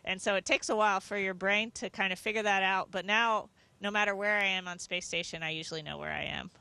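A woman's voice: medium pitch, steady tone, fast, gravelly, pushed and bright, smooth, no room sound. A woman, around her forties, speaking as an astronaut in an interview, explaining things conversationally into a handheld microphone. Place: inside a space station module.

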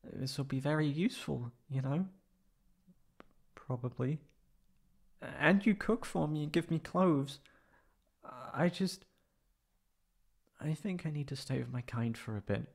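A man playing a prickly young catboy in an ASMR roleplay, haughty but secretly soft-hearted and stammering when flustered. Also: a little high, animated, unhurried, creaky, pauses often, full character voice. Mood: hesitant, wistful.

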